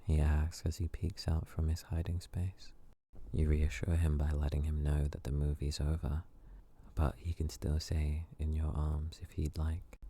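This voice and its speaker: Very deep, gravelly male ASMR narrator. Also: dark tone. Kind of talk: soft ASMR story narration.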